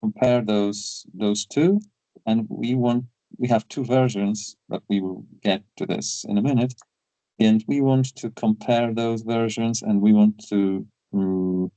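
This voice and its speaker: Man, interviewer, hesitant, lots of fillers, low-ish and mellow, slow, dry close sound. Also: steady tone, no echo, rough recording. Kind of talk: interview questions.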